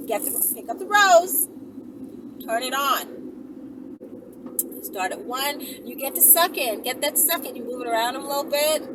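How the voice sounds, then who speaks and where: A woman's voice: high-pitched, animated, a little slow, long pauses, background noise. A woman vlogger chatting casually and directly to her viewers in a teasing, emphatic, mock-sympathetic manner. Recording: distant mic, loud music behind.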